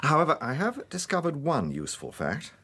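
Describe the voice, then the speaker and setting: English gentleman detective, polite, methodical, measured phrasing, widely varied pitch, radio drama. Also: huge pitch swings, husky, exaggerated voice. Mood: wry. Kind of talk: British radio drama acting.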